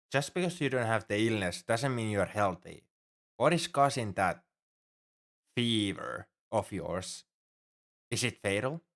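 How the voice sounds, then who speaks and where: Casting: male actor for a worrying, devoted alien shipmate, fairly deep voice, earnest and halting, formal turns of phrase, intimate ASMR roleplay. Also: fairly bright tone. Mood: worried. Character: anxious, caring male alien crewmate on a spaceship. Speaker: ship crewmate tending a sick human.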